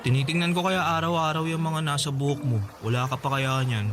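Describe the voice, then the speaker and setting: A man's voice: fairly high pitch, steady tone, brisk, over music, lightly acted. A man playing an earnest, lovesick teenage schoolboy in a radio drama, pleading and insistent. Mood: hurt, pleading. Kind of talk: Tagalog radio drama acting.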